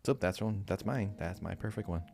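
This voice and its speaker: A man's voice: low-pitched, fairly quick, vocal fry, mellow. A man co-hosting a podcast, talking casually and informally as a gaming fan. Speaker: podcast co-host. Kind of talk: casual chat about video games.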